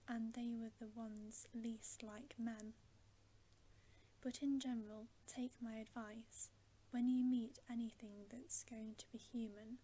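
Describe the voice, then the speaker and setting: A woman's voice: fairly high pitch, dark tone, slight background noise, poor audio. A woman voicing a stern, earnest male talking beaver in a storybook, in an emphatic whisper. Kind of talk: whispered storybook character reading.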